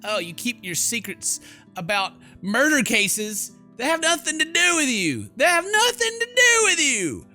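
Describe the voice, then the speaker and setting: A man's voice: very high voice, wildly swooping pitch, measured pace, bright, forceful tone, soft background music. A man giving casual, reactive gameplay commentary in a mocking, jokey manner. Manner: mocking, jokey, mimics characters. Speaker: gaming video host.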